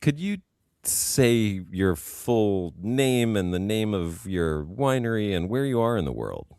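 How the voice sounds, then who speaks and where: A man hosting a podcast, reading a measured, reflective introduction and then interviewing his guest. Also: fairly low pitch, sing-song, darkish tone, smooth, no echo, clean studio sound. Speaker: podcast host.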